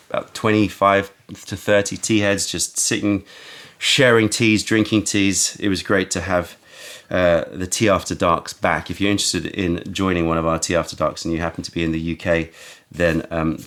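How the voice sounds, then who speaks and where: A man hosting a casual tea tasting, his voice croaky from having just woken up. He talks slowly and musingly, often stopping to search for the right word. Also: sits low, fairly bright tone. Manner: slow, musing, searching for words. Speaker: tea shop host.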